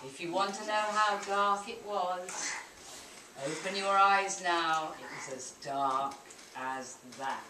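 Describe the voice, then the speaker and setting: Slow-paced woman storyteller in echoey room. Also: on the low side, bright, forceful tone. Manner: simple repetitive phrasing, addressing children. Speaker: storyteller at a shadow puppet show. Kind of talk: live narrated children's story. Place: indoor room at live puppet show. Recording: distant mic, echoey noisy room.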